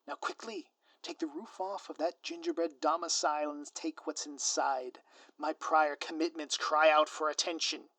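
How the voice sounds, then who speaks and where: A scheming male supervillain played by a man in an audio roleplay, speaking in a fairly high voice. He is pompous and melodramatic, relishing florid phrases with theatrical grandeur. The sound is very dry, with no room around it. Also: steady tone, normal speed, bright, forceful tone, no echo. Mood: theatrical, taunting. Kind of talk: scripted audio roleplay monologue.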